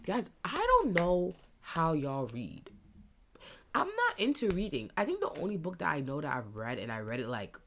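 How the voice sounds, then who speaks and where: Young woman, twenties, stuffy nose, very low lively voice, rambling casual podcast host. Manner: rambling, thinking out loud. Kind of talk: casual solo podcast monologue.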